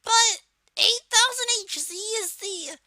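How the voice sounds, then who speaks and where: Casting: a cheeky cartoon villain kid, extremely high and piercingly bright, presenting a casual, halting tutorial. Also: female voice, dry recording, exaggerated voice. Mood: casual, explaining. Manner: halting, casual, peppered with filler words. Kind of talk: software tutorial narration.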